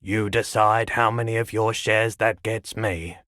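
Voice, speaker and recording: Low-voiced, laid-back eccentric shopkeeper. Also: male voice, little pitch movement, a bit bright, no echo, clean studio sound. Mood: polite, matter-of-fact. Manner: unhurried, musing, understated. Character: laid-back, eccentric pawnshop man, quietly earnest. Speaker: video game shopkeeper character. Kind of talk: video game character dialogue.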